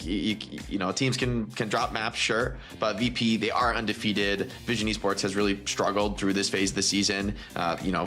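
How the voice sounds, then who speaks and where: A man with a low voice gives firm opinions as an esports analyst. His delivery is rapid and sure of itself. Light music runs in the background. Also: fairly bright tone. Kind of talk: analyst desk chat.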